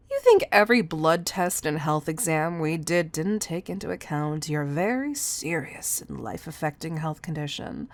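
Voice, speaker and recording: A woman performs a stern female boss in an audio roleplay. Her voice sits low and she takes her time, stressing words with clipped exasperation. She sounds commanding and used to being obeyed. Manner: exasperated, clipped, deliberate emphasis. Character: stern, commanding female CEO scolding an employee. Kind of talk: scripted audio roleplay monologue.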